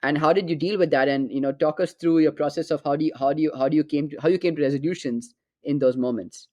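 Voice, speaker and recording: Podcast host, male, fairly high voice, halting and searching for words. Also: a little mellow, no room sound. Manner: hesitant, many restarts and fillers. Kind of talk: conversational interview.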